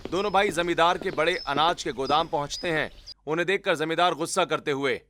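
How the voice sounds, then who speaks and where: A man's voice: fairly high pitch, brisk, pushed and bright, a little hiss or hum. A man narrating a scripted story as its storyteller.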